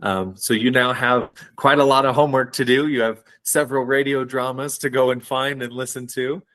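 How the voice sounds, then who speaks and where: A man's voice: medium pitch, steady tone, moderate pace, a bit bright. A man chatting casually as the co-host of a podcast.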